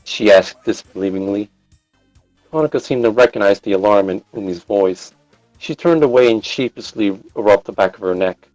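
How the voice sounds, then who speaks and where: Low-voiced man narrating a story. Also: normal speed, a little mellow, no echo, highs cut off, soft background music. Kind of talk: scripted narration of fan fiction.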